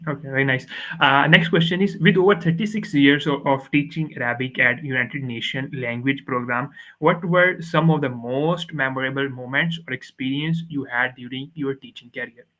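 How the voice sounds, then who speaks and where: A man hosting a podcast, asking interview questions in a halting way with many fillers and restarts. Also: mid-range voice, a bit bright, poor audio.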